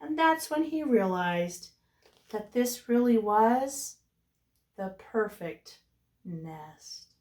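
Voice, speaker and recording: A woman reading a storybook aloud to young children as a teacher, with animated delivery and chatty asides. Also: mid-range voice, very slow. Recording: roomy echo, distant microphone.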